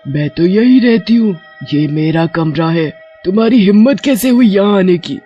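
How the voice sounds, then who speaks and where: A man's voice: high voice, lively intonation, moderate pace, warm tone. A man voicing a menacing, territorial witch ghost in a horror cartoon, taunting and threatening. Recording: dull lo-fi audio with music behind.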